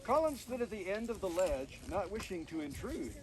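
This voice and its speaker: A man reading his storybook aloud to listeners outdoors, with expressive telling and chatty asides. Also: sits high, moderate pace. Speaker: author reading from own book. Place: outdoors on a mountain. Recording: distant mic, noisy outdoor recording.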